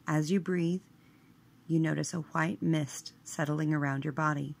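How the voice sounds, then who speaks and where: A woman leading a scripted guided meditation in a measured, hushed manner, letting her phrase endings trail away. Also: deep voice, steady tone, vocal fry.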